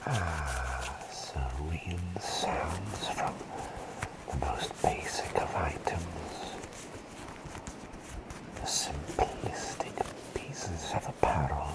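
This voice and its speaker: A man playing an eccentric, scatterbrained but gentle plague doctor in a soft, soothing, rambling whisper for an ASMR roleplay. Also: a bass voice, slow, low-quality recording. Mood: curious, soothing. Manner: rambling, soothing, softly repeating words. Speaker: ASMR performer.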